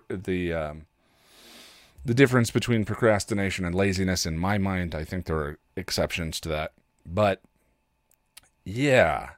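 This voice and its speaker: Halting low-voiced man with swooping intonation. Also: no echo, studio quality. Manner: halting, hesitant, self-deprecating. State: congested with a cold. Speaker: podcast host. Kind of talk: casual, rambling solo podcast talk.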